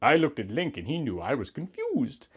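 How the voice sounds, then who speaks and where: A man's voice: medium pitch, wildly swooping pitch, moderate pace, a bit bright, husky. A man in his thirties giving a mock-earnest, melodramatic reading of a story, leaning on words for emphasis. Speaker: host of a comedy reading series. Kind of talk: theatrical dramatic reading of fan fiction.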